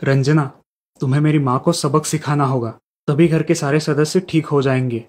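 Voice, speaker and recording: A man's voice: a little high, steady tone, moderate pace, darkish tone, close to an everyday voice. Man voicing an earnest young lawyer. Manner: reassuring, earnest.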